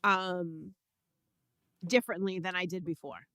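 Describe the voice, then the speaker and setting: A woman's voice: lowish voice, steady tone, unhurried, pushed and bright, dry recording, clean studio sound. A woman from Brooklyn with a Brooklyn accent, chatting loosely on an internet radio show, restarting her sentences often. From Brooklyn, New York. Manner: chatty, with frequent restarts and fillers. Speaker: co-host.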